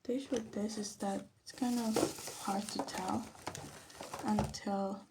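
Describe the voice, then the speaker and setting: Soft half-whispering woman with creaky voice. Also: medium pitch, fairly even intonation, slow pace. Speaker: vlog host. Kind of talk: ASMR casual commentary. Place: her bedroom. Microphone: phone. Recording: faint background noise, phone microphone.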